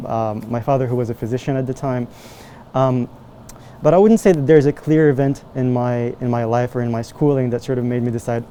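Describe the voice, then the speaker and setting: Young man from Morocco, mellow tone, halting answers with fillers, faint noise behind. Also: medium pitch. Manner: hesitant, many fillers and restarts. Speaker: medical student researcher. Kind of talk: interview answers, casual.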